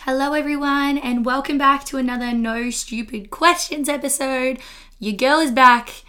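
A young Australian woman with a slightly husky voice after an illness, talking frankly and chattily as a podcast host and health teacher. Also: high voice, fairly even intonation, moderate pace, sharp tone. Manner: chatty, frank, laughs between points. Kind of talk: casual educational monologue.

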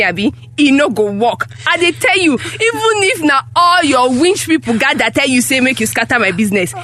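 A woman's voice: sits high, fairly bright tone, full character voice. A woman with a Nigerian accent playing a harsh, accusing mother who runs a small shop, in a Pidgin drama. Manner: scolding, exclaiming, emphatic rhetorical questions.

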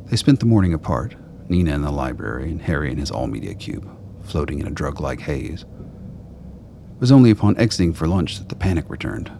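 A man's voice: a bass voice, sing-song, brisk, vocal fry, warm tone, slight background noise. A man narrating a radio play as a scripted story in the third person.

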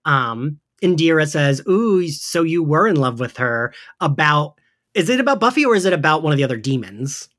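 A man's voice: a little high, very animated, normal speed, a bit bright. A man hosting a casual recap podcast, speaking in an enthusiastic, gushing way. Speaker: podcast host. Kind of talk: casual podcast chat recapping an audio drama.

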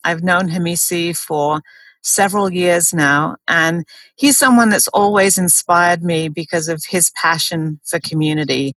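A woman with a notably low voice hosts an interview, asking earnest, gently probing questions. The sound is dry and close, with no room echo. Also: a little slow. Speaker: host. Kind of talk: interview, warm introduction.

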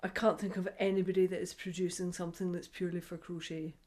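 A woman from the UK hosting a podcast interview, enthusiastic and quick to laugh. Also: on the low side, little pitch movement, a crowd in the background. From United Kingdom. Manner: warm, enthusiastic, laughs readily. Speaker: podcast host. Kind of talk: casual interview chat.

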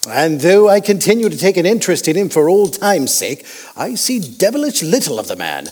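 A crusty old Victorian gentleman doctor in an audio drama. The voice is husky and rather high, swinging wildly up and down with pompous bluster. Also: big pitch swings, brisk, big-room sound, exaggerated voice. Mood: indignant. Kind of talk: period audio drama acting.

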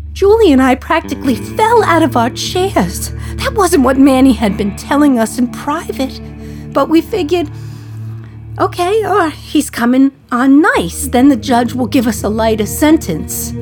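Wry, wistful condemned mother over music. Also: high voice. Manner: wry, confiding, sardonic gallows humour. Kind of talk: dramatic radio play monologue.

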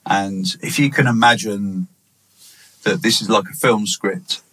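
A man's voice: on the low side, measured pace, a bit bright, rough recording. A man hosting an interview, playfully formal and encouraging toward his guest. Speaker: interviewer, host. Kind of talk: casual interview.

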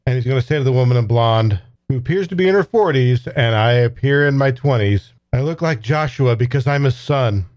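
A man's voice: medium pitch, lively intonation, a little fast, darkish tone, no room sound. A man playing a tabletop game, casually improvising what his character says and does.

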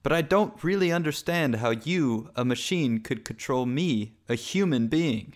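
A man's voice: mid-range voice, animated, unhurried, close to an everyday voice. A man playing an easygoing, hesitant human musician in a radio drama, with a folksy way of talking. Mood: hesitant, agreeable.

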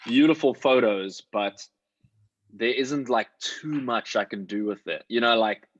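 A man's voice: medium pitch, measured pace, breathy edge, lo-fi sound. A man chatting casually as a working actor giving advice, talking in an emphatic, slangy way with plenty of swearing. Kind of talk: casual chat.

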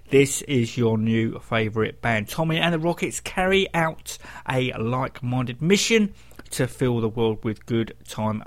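A man hosts a rock radio show, talking between records in a presenter's style. His delivery is that of a music DJ introducing tracks. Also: medium pitch. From United Kingdom.

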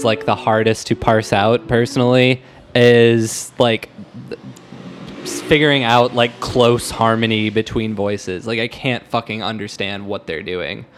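A man co-hosting a podcast, casually talking through music in an informal, sometimes sweary way with hesitant phrasing. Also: mid-range voice. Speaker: podcast co-host discussing songs. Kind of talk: casual chat, analysing music. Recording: music playing and instrument behind. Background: music and instrument.

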